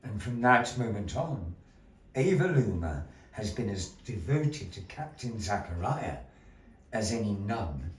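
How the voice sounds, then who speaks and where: A man narrating a puppet show tale to a live audience, with a slow, theatrical delivery that rises and falls. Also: on the low side, very animated, distant mic. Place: gallery space. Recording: echoey gallery room, voice from afar, faint noise.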